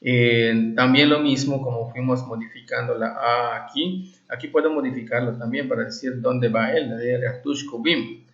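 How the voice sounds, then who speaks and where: A man gives an informal language lesson in a mid-range voice. He explains examples and translations step by step, often adding short check-in questions. The recording is dull and lo-fi, with clear room echo. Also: mid-range voice, fairly even intonation, moderate pace, few pauses, fairly bright tone. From Valladolid, Yucatán, Mexico. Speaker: Maya language teacher.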